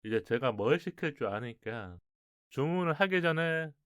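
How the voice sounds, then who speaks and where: A man's voice: on the high side, animated, moderate pace, a bit bright, clear, resonant tone, no room sound, studio quality. A man hosting a radio show in a conversational style, talking to listeners and a guest.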